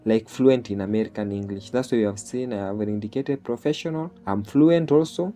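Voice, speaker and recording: A man's voice: fairly low pitch, a little fast, dark tone. A man from Kenya with a Kenyan accent, a native Swahili speaker, walking through a screen-share tutorial step by step and often checking in with "okay?". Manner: explaining step by step, ends phrases with "okay?". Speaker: online jobs tutorial host.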